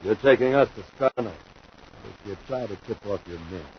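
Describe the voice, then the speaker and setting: An actor playing a sly, scheming criminal in a 1950s radio space adventure. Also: male voice, fairly low pitch, normal speed, lightly acted. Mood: commanding. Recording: muffled, noisy 1950s radio recording.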